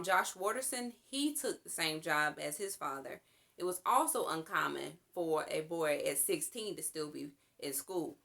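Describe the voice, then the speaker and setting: A woman with a slightly low voice reads aloud for a children's storytime. She narrates the book and explains it to young listeners. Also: creaky, bright, forceful tone. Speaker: storytime reader.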